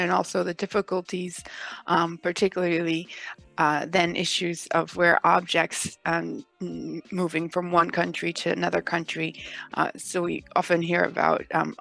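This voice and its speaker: Gravelly low-voiced woman from Mexico. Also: a bit bright. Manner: thoughtful, many ums and uhs. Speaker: guest legal expert. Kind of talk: interview answer.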